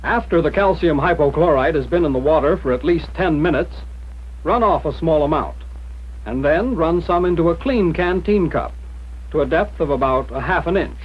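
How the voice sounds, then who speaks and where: A man's voice: on the high side, normal speed, husky. Muffled 1940s training film narrator, American man. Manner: plain-spoken, instructive, direct. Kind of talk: scripted 1940s instructional narration.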